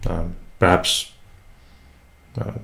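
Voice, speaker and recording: Man, young, from Brazil, Portuguese first language, very low voice, halting and thoughtful, home desk mic. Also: steady tone, vocal fry, pushed and bright. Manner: hesitant, many fillers and restarts, reflective. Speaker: English tutor and vlogger. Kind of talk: casual first vlog introduction. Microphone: USB desk mic, unprocessed.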